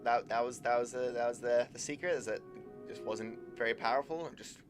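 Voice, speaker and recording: Guy streaming a game, casual halting commentary, repeats himself, average pitch, game music in the background. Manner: halting, repeats words and trails off mid-thought. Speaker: livestreamer playing a game. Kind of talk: casual live gameplay commentary.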